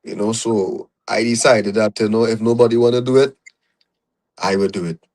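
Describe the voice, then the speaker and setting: Low-voiced Trinidadian man telling his story. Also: fairly even intonation, normal speed, a little mellow. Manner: reflective, storytelling, many "you know"s. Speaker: podcast guest. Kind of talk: casual interview conversation.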